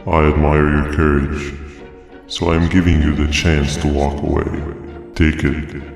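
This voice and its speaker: A super deep calm jellyfish monster from a video game, flat menacing speeches, big echo. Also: male voice. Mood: calm menace. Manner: wordy, deliberate, theatrical monologuing. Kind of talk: video game villain monologue. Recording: echoey long reverb, music behind.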